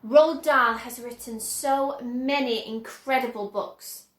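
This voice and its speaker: A woman reading a children's story aloud with expressive storybook narration. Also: a little high, takes it slowly. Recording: roomy, echoing indoor sound.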